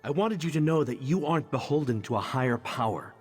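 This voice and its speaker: An actor playing a charming, candid knight in a fantasy video game, wry and conversational, hesitant when confiding. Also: male voice, mid-range voice, expressive, brisk, soft background music, close to an everyday voice. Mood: reflective, wry. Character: charming, candid male knight champion of a goddess. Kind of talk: video game character dialogue.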